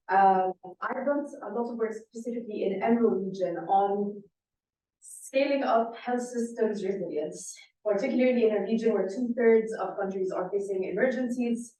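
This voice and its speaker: A woman in the audience projecting her voice to ask a question at a conference panel. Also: mid-range voice. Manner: loud, projecting voice. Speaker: audience member asking a question. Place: large conference room. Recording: echoey hall from far off.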